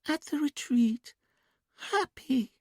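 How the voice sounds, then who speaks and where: A man voicing a young woman in a hypnotic trance, who answers in a quiet, breathy, childlike sing-song. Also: extremely high pitch, expressive, takes it slowly, no room sound, studio quality, voice pushed up, heavily acted. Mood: dreamy, entranced.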